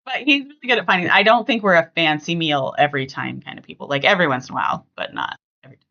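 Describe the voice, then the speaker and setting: A woman hosting a casual family podcast, chatting conversationally and thinking out loud as she talks. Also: deep voice, rapid-fire, vocal fry, highs cut off. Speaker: podcast host.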